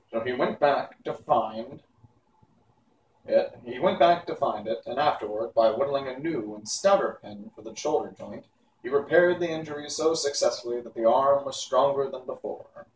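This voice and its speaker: A man narrates a children's novel in a medium-pitched voice. His delivery is that of an unpolished amateur reader. He sounds set back from the mic in an echoey room, with faint noise behind him. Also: normal speed, distant mic. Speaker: storybook reader. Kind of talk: reading a children's novel aloud.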